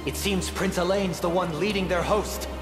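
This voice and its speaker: A man playing an urgent, high-strung soldier in a video game, deferentially reporting to his lord. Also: steady tone, moderate pace, close to an everyday voice. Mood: alarmed. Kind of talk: video game cutscene acting. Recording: echoey, music behind.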